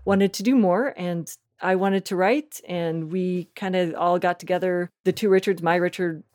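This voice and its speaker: A woman's voice: lowish voice, fairly even intonation, normal speed, darkish tone. A woman chatting casually as an interview guest, informal, jokey and a little self-deprecating. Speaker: interview guest, audio comedy writer. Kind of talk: casual chat.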